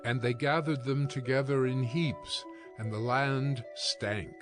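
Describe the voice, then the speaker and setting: A male narrator reads scripture very slowly at an average pitch. His storytelling is solemn and measured, as in an audio Bible, with music clearly underneath. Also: steady tone.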